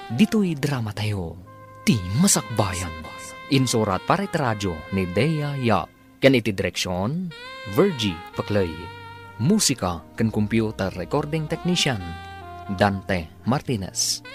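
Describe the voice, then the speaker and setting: A male radio drama announcer with a Filipino Ilocano accent reads a dramatic script. His pitch moves widely, with music clearly playing underneath.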